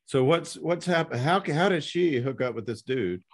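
A man hosting a casual conversational interview, rephrasing his questions haltingly as he goes. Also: fairly high pitch, animated. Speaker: podcast host interviewing an author.

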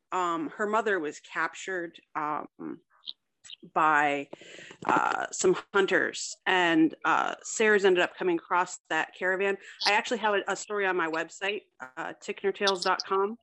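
A woman's voice: fairly low pitch, measured pace, fairly bright tone, rough recording. A woman guest author speaking casually in an interview, hesitantly piecing her explanations together. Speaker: guest author discussing own story.

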